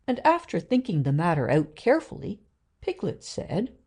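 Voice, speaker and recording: A woman's voice: on the low side, sing-song, normal speed, dark tone, slightly rough. A woman narrating a children's story from a script as a storyteller.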